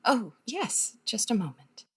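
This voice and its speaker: A woman playing a sad, polite widow in an audio drama, subdued and rueful, with a slightly husky voice. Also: on the high side, very animated, measured pace, full character voice.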